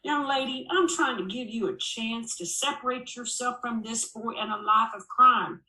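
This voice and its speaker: A man's voice: extremely high pitch, normal speed, bright, forceful tone, pitched above the performer's own voice, full character voice. A woman narrator acts out a small-town sheriff in an audiobook, stern and folksy. He needles and talks down to whoever he is questioning, with sarcasm in a raised, pushed voice. The room rings with echo. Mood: stern pressure. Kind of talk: audiobook character dialogue. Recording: echoey room.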